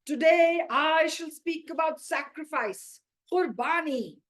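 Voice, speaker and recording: A woman puts on a bearded mullah preaching solemnly in a storybook. The delivery is deliberate and oratorical, raised in pitch, with weighty stresses on key words. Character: grave preaching bearded male mullah on video.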